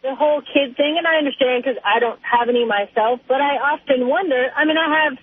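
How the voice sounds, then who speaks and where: A woman's voice: high voice, brisk, dry recording. A woman calling a radio show and chatting casually over a phone line. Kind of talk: casual chat.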